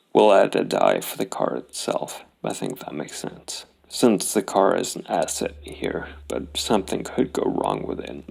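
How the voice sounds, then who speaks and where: A man's voice: sits low, vocal fry. A man narrating a solo tabletop role-playing game, thinking aloud and reasoning things out. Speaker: solo RPG player and host.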